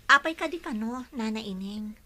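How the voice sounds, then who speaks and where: Woman playing earnest young daughter, high bright voice. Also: animated, normal speed, exaggerated voice. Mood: puzzled, troubled. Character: earnest young daughter devoted to her boyfriend. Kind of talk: Ilocano radio drama acting.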